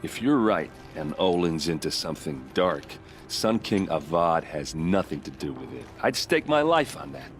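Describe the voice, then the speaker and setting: Gruff boozy soldier from a video game, low voice, blunt n defensive, bit of music behind. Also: male voice, big pitch swings, moderate pace, hardly any pauses, heavily acted. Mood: earnest. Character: gruff hard-drinking grieving male soldier, loyal but defensive.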